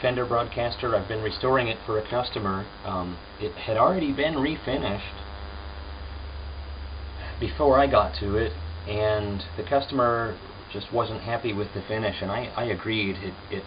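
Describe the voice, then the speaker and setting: Low-voiced man, dull humming recording. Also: moderate pace. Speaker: guitar repairer and restorer, host. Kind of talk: casual workshop demonstration. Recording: dull lo-fi sound with hum and noise.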